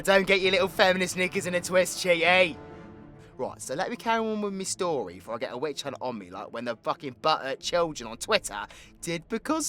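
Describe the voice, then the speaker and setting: A man's voice: sits high, sharp tone, heavily acted. A man with a British accent playing a cocky, foul-mouthed, sarcastic supervillain who tells stories in an audio roleplay. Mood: mocking, animated. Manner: cheeky, sweary, colloquial, theatrical swings into exclamation. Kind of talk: villain audio roleplay, rambling comedic storytelling.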